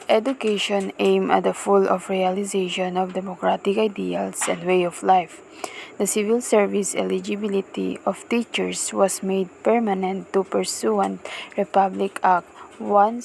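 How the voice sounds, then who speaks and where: A woman's voice: mid-range voice, gravelly, a little mellow, low-quality recording. A woman presenting a class report, reading dates and law numbers in short phrases. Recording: faint background noise.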